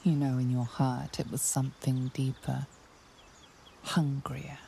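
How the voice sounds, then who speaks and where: A woman voicing a game's ominous narrator, telling the story slowly and intimately in second person with a gravelly, hushed voice. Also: rumbling low, flat delivery, slight background noise, full character voice. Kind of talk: game narration. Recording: game score behind.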